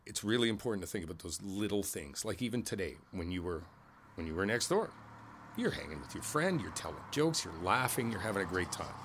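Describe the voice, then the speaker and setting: A man playing a kindly, philosophical older neighbor in an ASMR roleplay, talking in an easygoing, folksy, rambling way with thoughtful pauses. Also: low voice, very animated, fairly bright tone, slight background noise. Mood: reflective, earnest. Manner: folksy, rambling, pausing, chuckling between thoughts. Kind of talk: ASMR roleplay, one-sided conversational monologue.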